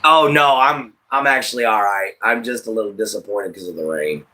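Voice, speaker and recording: Livestream host: American male, late 30s, fairly low voice, casual and opinionated, circles back over points while addressing the audience. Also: brisk, pushed and bright. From United States. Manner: addresses listeners directly, rambling and repetitive.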